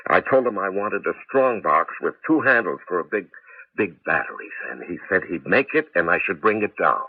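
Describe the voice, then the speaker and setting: An eager, earnest cabbie and home inventor in a 1980s radio play. The voice is fairly low and husky, with very lively intonation. It comes through a thin, tinny broadcast sound. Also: male voice, normal speed, exaggerated voice. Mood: coaxing. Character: eager, earnest male cab driver and amateur inventor. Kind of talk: 1980s radio drama acting. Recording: tinny, band-limited old radio broadcast.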